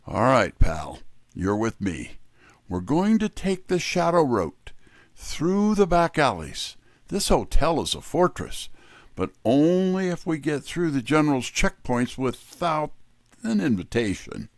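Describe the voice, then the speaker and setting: A man's voice: a little high, big pitch swings, measured pace, dry recording, clean studio sound. A man giving a scripted, wry first-person hardboiled detective narration.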